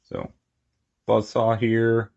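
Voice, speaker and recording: A man with a low-pitched voice talks through a hands-on review in a casual, unscripted way. He rambles and murmurs to himself as he goes. The recording is a little dull and lo-fi. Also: monotone, very slow, gravelly, darkish tone. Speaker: toy collector reviewing figures.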